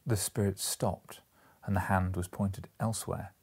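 A man in his forties reading a classic story aloud in a measured, solemn, dramatic storytelling manner. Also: low voice, steady tone, a little mellow, husky. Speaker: storybook reader. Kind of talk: dramatic literary narration. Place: small indoor room, seated in an armchair.

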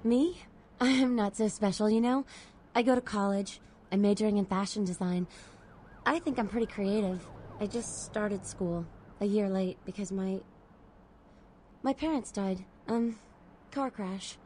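An actress playing a devoted, naive young college student in a video game, speaking in a hesitant, pleading way. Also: female voice, mid-range voice, measured pace, long pauses, darkish tone, slight background noise, lightly acted. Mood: shy, wistful. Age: young adult.

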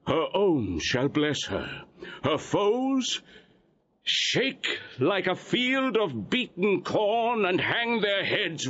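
Slow, solemn archbishop. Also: male voice, fairly high pitch, highs cut off. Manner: declamatory, reverent, oratorical. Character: solemn, exalted archbishop prophesying blessings. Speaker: actor playing an archbishop. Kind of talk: Shakespearean audio drama acting.

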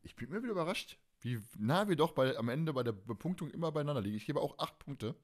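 A man's voice: fairly high pitch, animated, fast. A man hosting a casual fan podcast, colloquial and teasing, with plenty of opinions. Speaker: podcast host.

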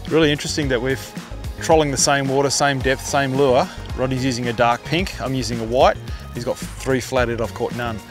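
A man's voice: medium pitch, fairly even intonation, a little fast. Aussie bloke in his middle years talking you through fishing, matey and informal, out on a boat, bit of music behind. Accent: Australian. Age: middle-aged. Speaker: fishing show host. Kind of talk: casual instructional fishing commentary. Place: outdoors on a small boat on open water.

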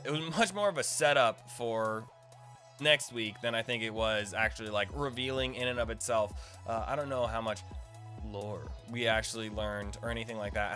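A man's voice: medium pitch, fairly bright tone. A man in his thirties doing casual, slangy livestream commentary on a game, speaking playfully into a close desk mic. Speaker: video game streamer and guide host. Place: small indoor room, streaming setup. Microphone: close desk mic on boom arm. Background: game music.

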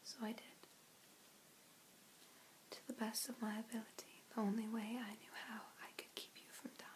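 A gentle, apologetic female vampire, acted by a young woman speaks in a soft whisper. Her voice sits a little high and stays hushed and deliberate. She pauses often, as in an intimate ASMR roleplay told straight to the listener. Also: little pitch movement, natural voice, rough recording. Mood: grave, confessing. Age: young adult. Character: gentle, apologetic female vampire rescuer, ancient but youthful. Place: small room indoors. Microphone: phone or webcam at arm's length.

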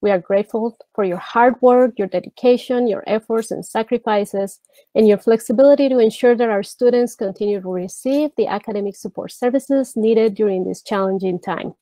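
A middle-aged woman chairing a school board meeting from home over a video call, speaking earnestly with halting restarts. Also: fairly high pitch, mellow, dry recording. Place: home room with brick wall. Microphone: earbud headset on video call.

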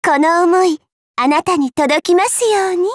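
A woman's voice: extremely high pitch, a little slow, no room sound, clean studio sound. An actress playing a dainty, girlish goddess in an anime game, elegant, coy and teasing, with polite ladylike phrasing. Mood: playful, coy. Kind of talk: anime mobile game battle voice lines. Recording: game audio with music behind.

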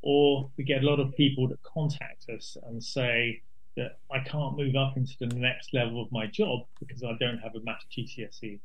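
A man's voice: mid-range voice, steady tone, moderate pace. British man on a slightly muffled link. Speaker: interview guest, dyscalculia network co-founder. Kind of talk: conversational interview answers. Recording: slightly dull remote link.

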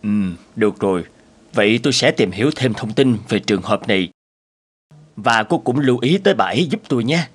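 A man voice-acting family men and bosses in an animated moral tale. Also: medium pitch, fairly quick, husky. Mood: concerned, businesslike.

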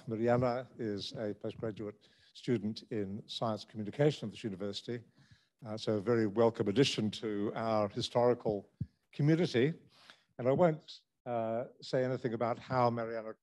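A man's voice: mid-range voice, a little mellow. A man hosting an evening talk, giving a formal welcome with hesitant fillers as he introduces the speaker. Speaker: event host. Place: lecture room.

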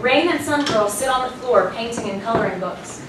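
A woman's voice: a little high, expressive, normal speed. A woman giving scripted narration, reading out stage directions at a staged play reading. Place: small hall, staged reading before an audience. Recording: very echoey room, distant mic, noisy.

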